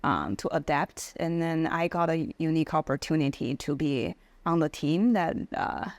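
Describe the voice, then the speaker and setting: Low-voiced woman, halting and careful. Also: lively intonation, no room sound. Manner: halting, with many uh and um fillers. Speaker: podcast guest, tech leader. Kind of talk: casual interview chat.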